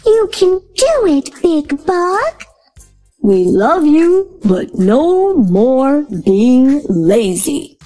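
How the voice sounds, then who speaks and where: A woman voicing gentle bug parents cheerfully coaxing their child in a storybook reading. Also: high-pitched, big pitch swings, very slow, faint music behind, heavily acted.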